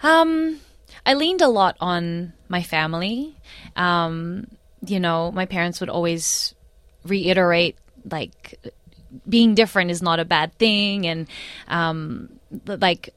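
Young Australian woman, low voice, dry sound. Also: takes it slowly, a bit bright, clean tone, dry recording. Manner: thoughtful, many fillers and restarts. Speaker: guest. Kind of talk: casual interview.

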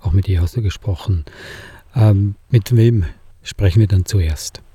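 A man's voice: sits low, a little slow, mellow, husky, no room sound. A man hosting a radio book show from the studio, plainly listing titles and linking to an interview. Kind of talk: studio presenting.

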